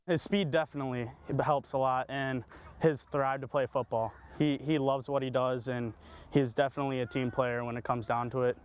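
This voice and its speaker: Clear-voiced teenage male football player. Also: mid-range voice, fairly even intonation, normal speed. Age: teens. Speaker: high school football teammate being interviewed. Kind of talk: casual interview praise.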